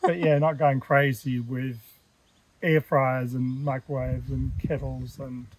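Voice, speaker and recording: A relaxed Australian man in his fifties talks casually to camera at a shady bush campsite, with plenty of ums and uhs. His voice sits at an ordinary middle pitch. Soft outdoor noise and birdsong run underneath. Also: slow pace, rough recording. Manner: relaxed, chatty, with many fillers. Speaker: travel vlogger. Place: outdoors in a pine forest campsite. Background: birdsong and bush ambience.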